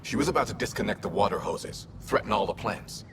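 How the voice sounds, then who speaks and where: Station guard: gravel in the voice, lowish, firm, noisy setting. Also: male voice, expressive, fairly quick. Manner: firm, commanding. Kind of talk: scripted game dialogue.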